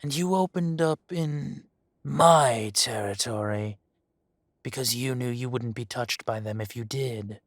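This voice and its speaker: A husky, unhurried dragon crime boss, as a man acts him out lets each threat drip with mocking calm. His voice is close and dry, with no room around it, and every word lands slowly. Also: mid-range voice, dry recording. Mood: silky menace. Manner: slow, purring, mocking menace. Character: menacing male dragon posing as a mafia boss. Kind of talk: roleplay audio drama.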